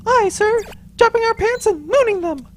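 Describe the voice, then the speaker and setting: A woman's voice: very high voice, expressive, normal speed, soft background music. A woman playing a flustered, deferential junior starship radio officer in a space audio drama. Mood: dutiful.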